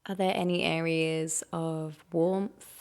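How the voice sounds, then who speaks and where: Low-voiced woman guiding meditation, lightly creaky. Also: little pitch movement. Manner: gentle, unhurried instruction with soft invitations and questions. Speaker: meditation guide. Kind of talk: guided meditation.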